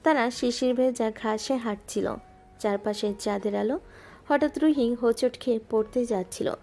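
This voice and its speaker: A woman gently narrating a scripted romantic audio story. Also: on the high side, normal speed. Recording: music bed underneath.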